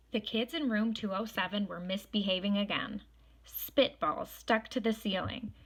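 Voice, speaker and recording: A woman reading a children's storybook aloud in expressive narration. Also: medium pitch, rough recording. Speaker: storybook reader.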